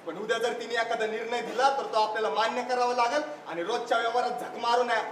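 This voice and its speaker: Man playing an impassioned high-voiced younger brother. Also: fairly even intonation, quick pace. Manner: impassioned, declamatory. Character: idealistic, stubborn younger brother devoted to mother tongue. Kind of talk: live one-act stage play acting. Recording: echoey distant stage recording, faint noise.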